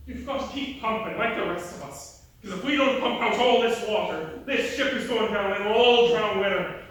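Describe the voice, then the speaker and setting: A stern, dutiful sailor played by a man in a stage play. The voice is fairly high for a man, far from the mic in a very reverberant theatre. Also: moderate pace, a bit bright. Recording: echoey theatre stage from far off, faint noise.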